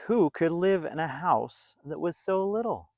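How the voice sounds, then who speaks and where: A man reading a story aloud to young children in a playful, expressive way, with dramatic emphasis and drawn-out words. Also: fairly high pitch, gravelly, no echo, studio quality.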